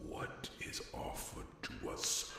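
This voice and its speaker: A man playing a mighty, sorrow-worn dragon alpha in a fantasy roleplay, speaking solemnly and grandly with long dramatic pauses. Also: heavy background noise, close to an everyday voice. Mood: brooding, proud. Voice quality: very deep, gravelly, breathy at times. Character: mighty, grieving male dragon alpha rallying his kin. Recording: long cavernous reverb, music underneath. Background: music.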